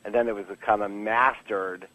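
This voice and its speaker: A man's voice: lowish voice, fairly even intonation, normal speed, pushed and bright, rough recording. A man speaking casually and candidly as a guest in a podcast interview, rambling with frequent false starts and a joking streak. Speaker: comic book writer being interviewed.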